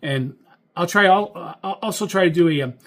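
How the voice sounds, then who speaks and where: American male hobbyist host, slightly higher register, informal instructional delivery, halting and explanatory. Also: a bit bright. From United States. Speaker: amateur radio operator and host.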